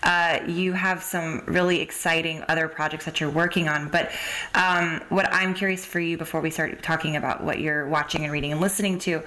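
Female podcast interviewer, lower-than-average pitch, casual and chatty delivery. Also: fairly even intonation, a little fast, sharp tone, rough recording. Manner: chatty, informal.

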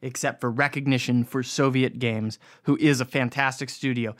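A man's voice: mid-range voice, fairly even intonation, moderate pace, a bit bright. A young American man hosting a podcast, giving a casual, rambling, self-deprecating solo review in informal language. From United States. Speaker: podcast host.

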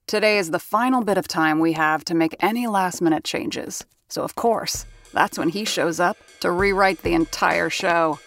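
An actress plays an unflappable woman working in a radio studio. Her voice is a little low, and she confides in the listener with dry, sardonic humour. It has the feel of a narrated period audio drama. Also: moderate pace, hardly any pauses, soft background music, natural voice. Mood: wry, confiding. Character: wry, unflappable female radio sound-effects worker narrating her story.

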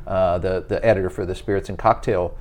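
A middle-aged wine writer, chatting as a guest and telling wry, animated stories. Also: male voice, sits low, a little fast. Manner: animated storyteller, wry, mimics voices in quotes. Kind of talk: casual interview chat.

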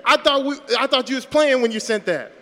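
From an echoey hall, a man plays an aggrieved, clingy suitor in improvised radio comedy. His high voice swings around widely as he whines, pleads and protests. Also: expressive, fairly quick, pushed and bright, slight background noise, exaggerated voice. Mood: wounded, pleading. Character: lovesick, indignant male suitor, clingy and aggrieved.

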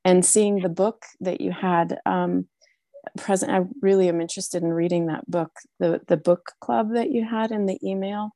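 Woman, mellow voice, lowish pitch, dry sound, hesitant with many fillers. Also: steady tone, normal speed, dry recording. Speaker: meeting participant. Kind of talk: casual video-meeting introduction.

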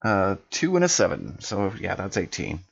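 A man playing a tabletop roleplaying game casually in character, pausing to pick his words, on a slightly dull microphone. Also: low voice, huge pitch swings, vocal fry, slight background noise.

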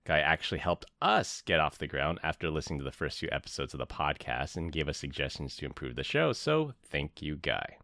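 A man hosting a podcast, reading the show intro in a measured, upbeat tone. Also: extremely low pitch, sing-song, gravelly, pushed and bright, no echo, clean studio sound. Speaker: podcast host. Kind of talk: casual chat and scripted show intro.

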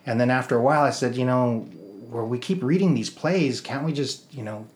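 A middle-aged American man speaks as a guest in an interview. His voice sits at an average pitch, and he tells personal stories in a loose, conversational way, often quoting what people said. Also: a little fast, darkish tone. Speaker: interview guest, theater producer and actor. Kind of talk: casual personal storytelling.

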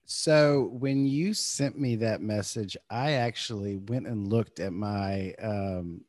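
A man with a fairly low voice hosts a podcast in easy, casual conversation. He talks in a halting way, often restarting his sentences, and the recording is close and dry. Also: darkish tone, no room sound. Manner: halting, many repeated starts. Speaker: podcast host.

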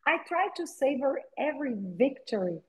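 A middle-aged Brazilian woman with a Portuguese accent, speaking English as a second language, talking as an interview guest and pausing often with "uh" and repeated words. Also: high voice, very animated, darkish tone. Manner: hesitant, with frequent "uh" pauses and repeated words. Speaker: guest, attorney and novelist. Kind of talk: conversational interview.

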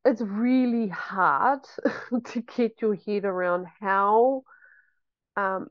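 A woman's voice: medium pitch, extremely slow, no room sound, dull audio. A woman, a homeschooling mother chatting reflectively in an interview with frequent ums. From New Zealand. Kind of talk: casual interview chat.

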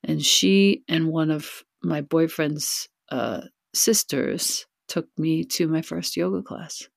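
A middle-aged woman from New York, a guest speaking softly and calmly into a headset. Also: low voice, gravelly, no room sound, clean studio sound. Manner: soft, calm and unhurried. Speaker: guest, yoga teacher. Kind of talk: casual conversation.

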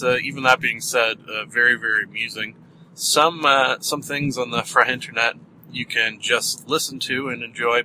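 A man's voice: medium pitch, bright, forceful tone, slight background noise, rough recording. A man with a Canadian accent hosting a casual solo podcast, rambling with many fillers and small chuckles. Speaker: podcast host.

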